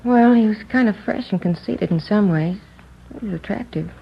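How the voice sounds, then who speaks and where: A woman's voice: medium pitch, normal speed, creaky, slightly rough. An actress playing a talkative woman clerk in a 1950s radio crime drama, speaking to detectives about a murdered friend. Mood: chatty. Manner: talkative, confiding, a little gossipy. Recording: dull old radio broadcast recording.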